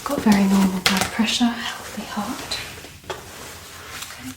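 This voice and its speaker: A woman speaking softly and breathily, often whispering, calm and reassuring as she plays a doctor in an ASMR medical exam. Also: medium pitch, measured pace. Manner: calm, reassuring, gently instructing. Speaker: ASMR performer playing a doctor. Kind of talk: soft-spoken ASMR medical exam roleplay. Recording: roomy echo, distant mic, background noise.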